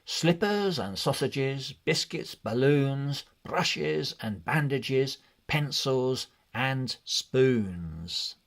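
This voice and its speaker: A man narrating a children's read-along storybook in an expressive, deliberate way. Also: mid-range voice, gravelly. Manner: expressive, deliberate, measured delivery.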